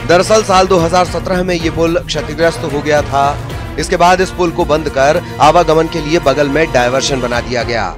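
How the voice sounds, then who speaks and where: A man reading scripted news reports as a broadcast voice-over. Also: fairly high pitch. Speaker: news narrator. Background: background music.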